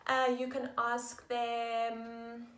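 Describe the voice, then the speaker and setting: A woman teaching young children, slowly sounding out sentences and praising them warmly. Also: fairly high pitch, monotone. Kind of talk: slow, patient lesson for young children. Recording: echoey room.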